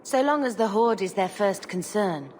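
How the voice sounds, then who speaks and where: An actress playing a young refugee mage, an earnest and dryly wry sister in a fantasy video game. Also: female voice, medium pitch, animated, moderate pace, background noise, close to an everyday voice.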